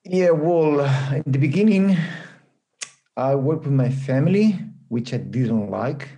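A man who grew up in Spain, speaking English as an interview guest, halting and laughing often. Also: a little high, animated, warm tone. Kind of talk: conversational interview.

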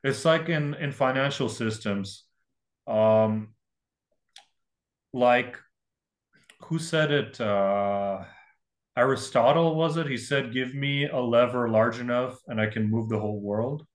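A man's voice: medium pitch, slow pace, pauses often. A young man from Russia, a painter, talking as a podcast guest in a rambling, candid, self-mocking way. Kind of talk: casual podcast conversation.

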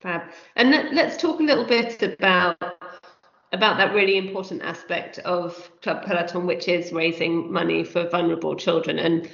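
Low-voiced woman interviewer in a roomy space. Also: moderate pace, vocal fry, fairly bright tone, muffled recording. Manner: chatty, rambling questions. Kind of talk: hosting an interview.

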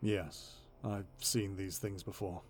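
A man's voice: deep voice, fairly even intonation, takes it slowly. A man playing a stern, composed military captain in an audio drama. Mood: grave.

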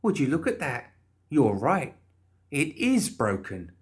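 A man's voice: mid-range voice, huge pitch swings, slow, pitched above the performer's own voice. A man voicing a perky, chatty undead woman in an audiobook, bubbly and pushy with coaxing false reassurance. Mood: coaxing, brisk. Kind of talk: audiobook character voice.

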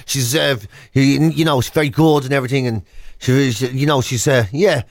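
A man's voice: medium pitch, steady tone, moderate pace, dry recording, clean studio sound. A middle-aged Irish man bantering comically as a radio co-host, self-deprecating and complaining with emphatic repetition. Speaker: radio show co-host and comedian.

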